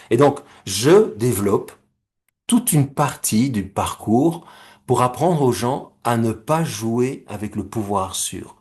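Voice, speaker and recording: A middle-aged man from Belgium and Rwanda giving a recorded talk, measured and explanatory, with homely comparisons and questions to the listeners. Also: lowish voice, darkish tone, breathy edge. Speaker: speaker presenting his conflict-resolution method.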